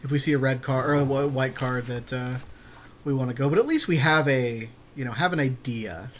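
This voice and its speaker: A man's voice: mid-range voice, brisk, slight background noise. A man co-hosting a gaming podcast, agreeable and easygoing as he comments casually on a card game with his friend. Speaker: podcast co-host playing an online card game.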